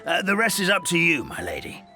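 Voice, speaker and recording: Old servant, courtly and deferential, fairly high voice, very wide pitch swings. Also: male voice, huge pitch swings, a little fast. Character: loyal formal old male servant. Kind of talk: video game cutscene dubbing. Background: game music.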